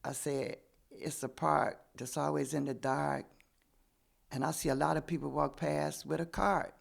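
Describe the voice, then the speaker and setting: Chatty seventy-something woman, gravelly voice. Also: low voice, fairly even intonation, measured pace. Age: 70s. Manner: chatty, repeats what people said to her. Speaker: community volunteer, guest. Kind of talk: casual storytelling.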